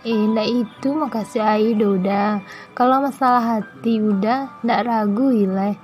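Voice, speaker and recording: Woman playing a young wife, Minangkabau accent, higher-pitched, tender and coy, comic cartoon dialogue. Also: unhurried, a little mellow, soft background music, close to an everyday voice. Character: affectionate, devout young wife in a comedy cartoon.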